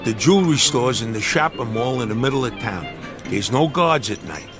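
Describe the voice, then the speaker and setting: A man acting as a streetwise young American crook from a crime game. He talks in a breezy, slangy way at a middle pitch, cocky and joking. Music plays clearly behind him. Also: animated, normal speed, lightly acted. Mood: brash. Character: streetwise young male small-time crook, brash joker. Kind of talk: video game cutscene acting.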